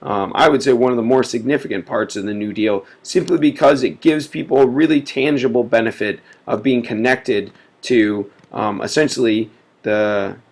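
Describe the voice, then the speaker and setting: A man's voice: mid-range voice, moderate pace. A man giving a casual recorded history lecture, full of filler words, sick and sniffly. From United States. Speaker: teacher.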